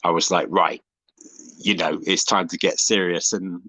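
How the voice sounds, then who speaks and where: A man's voice: low-pitched, steady tone, moderate pace, sharp tone, no room sound, poor audio. A man who makes hip hop, answering interview questions on a video call in a thoughtful, hesitant way. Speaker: guest, hip hop artist.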